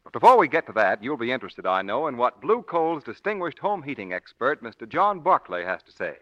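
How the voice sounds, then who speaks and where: A man's voice: mid-range voice, sing-song, a little fast, highs cut off. A man with an American accent announcing a 1940s radio show from a script.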